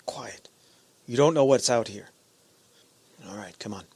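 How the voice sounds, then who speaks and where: A man's voice: mid-range voice, measured pace, darkish tone, slightly rough. A man playing a cautious spaceship captain in a radio drama, giving clipped, commanding orders. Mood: tense, cautious. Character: firm, cautious male spaceship captain commanding a landing party. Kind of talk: science fiction radio drama acting.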